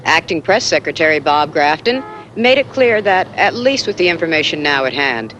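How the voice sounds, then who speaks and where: Tv field reporter, highish voice, lively inflection, formal, noisy remote feed. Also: male voice, normal speed. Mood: composed, factual. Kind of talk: live news report in a TV drama.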